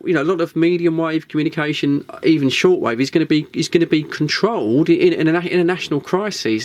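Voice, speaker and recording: An Englishman chatting casually and unscripted to viewers as a video host, rambling and thinking aloud, repeating himself and tagging questions on the end. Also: fairly high pitch. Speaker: video host and CB radio hobbyist. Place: indoors in a small radio shack.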